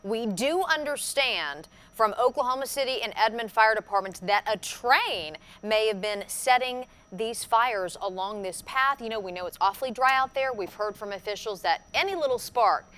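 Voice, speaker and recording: A woman's voice: fairly high pitch, normal speed, hardly any pauses, fairly bright tone, clear, resonant tone. A woman presenting a television newscast as anchor. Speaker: TV news anchor.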